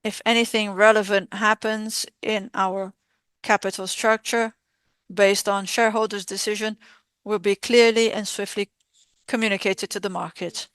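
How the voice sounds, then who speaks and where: A woman interpreting live on a formal corporate earnings call, speaking in measured, halting short phrases. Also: mid-range voice, fairly even intonation, a bit bright, clear, resonant tone, dry recording.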